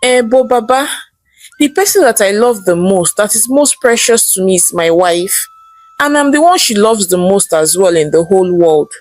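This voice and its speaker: Woman acting an anxious, pleading Nigerian husband, lively pitch. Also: close to an everyday voice. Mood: afraid, despairing. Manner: pleading, drawn-out exclamations. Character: anxious, persuasive husband hungry for wealth.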